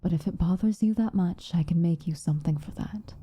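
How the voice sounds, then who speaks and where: Woman playing a hushed, dark-voiced, stern but caring witch. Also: on the low side, no echo. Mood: gently reassuring. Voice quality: soft, hushed, often breathy whisper. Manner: measured, formal, gently commanding. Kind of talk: ASMR roleplay.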